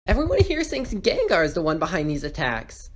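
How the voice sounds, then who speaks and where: A woman's voice: on the low side, big pitch swings, a little fast. A woman voicing an eager, loyal young Pokémon in a fan audio drama. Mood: earnest.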